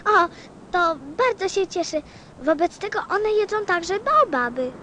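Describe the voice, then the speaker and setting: Radio play: actress as a childlike, curious little boy prince, piping high voice with animated melody, earnest and insistent. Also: a little slow, a little hiss or hum, heavily acted.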